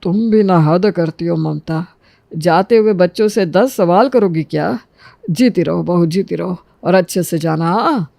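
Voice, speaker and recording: A man playing a kindly, chiding older father-in-law with a creaky, gravelly voice in a Hindi cartoon story. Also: sits high, a little slow, a little mellow, dry recording.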